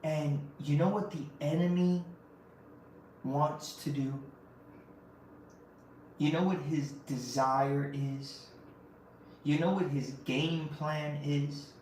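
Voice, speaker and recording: A man's voice: on the high side, steady tone, takes it slowly, long pauses, darkish tone, a little hiss or hum. A man hosting a podcast, giving an impassioned faith-based motivational talk and driving points home with repeated phrases and rhetorical questions. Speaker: podcast host. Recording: very echoey room, mic at a distance.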